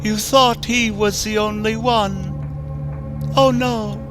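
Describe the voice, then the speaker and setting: A man voicing a sadistic, playful female clown who eats her victims, speaking in a soft, teasing, sing-song way over background music. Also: high voice, steady tone, slow pace, pitched above the performer's own voice. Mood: sadistic glee. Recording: music bed under voice.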